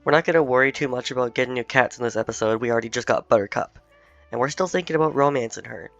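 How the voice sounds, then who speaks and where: A man hosting a gaming video, slightly hoarse while recovering from illness, chatting and thinking aloud as he plays. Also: medium pitch, racing, fairly bright tone, soft background music. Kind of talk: casual let's-play commentary. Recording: dull, dry home recording.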